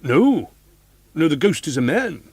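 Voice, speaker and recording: A man's voice: fairly high pitch, big pitch swings, measured pace, a little mellow, husky, dry recording, pitched above the performer's own voice, heavily acted. A man voicing a chatty, superstitious older woman who works as a theatre boxkeeper, talking earnestly. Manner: earnest, gossipy.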